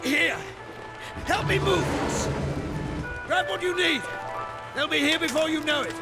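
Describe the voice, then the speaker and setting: A man's voice: extremely high pitch, a little slow, loud music behind the voice. A man playing a defiant, cynical English chemist on the run in a video game, speaking with sardonic, fatalistic scorn. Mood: urgent shouting. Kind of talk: dramatic video game acting.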